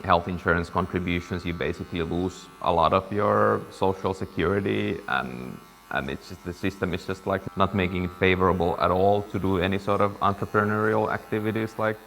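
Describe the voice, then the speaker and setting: Low gravelly man from Finland. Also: fairly even intonation, a little fast, few pauses, fairly bright tone, faint music behind. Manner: thoughtful, searching for words. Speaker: guest, business partner. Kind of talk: casual conversation. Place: barber shop. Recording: reverberant room, faint ambience.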